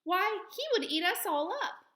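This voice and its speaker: A woman voicing a tiny, grateful and excitable queen of the field mice in a squeaky storybook voice. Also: unhurried, slight background noise, heavily acted. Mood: alarmed.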